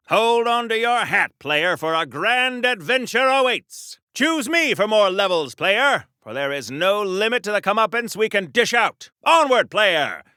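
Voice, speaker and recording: An actor playing a pompous, gung-ho American superhero from a golden age comic in a video game, declaiming grandly like a 1940s radio serial hero. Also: male voice, high-pitched, pushed and bright, no room sound, clean studio sound, full character voice. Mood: jaunty, grand. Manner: grandiose, theatrical, florid declamation.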